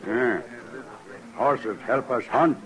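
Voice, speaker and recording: Very slow, stoic old chief. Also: male voice, mid-range voice, a bit bright. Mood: stoic, grave. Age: older. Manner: terse, stilted broken English, unhurried. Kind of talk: old time radio western acting. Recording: noisy old radio drama recording.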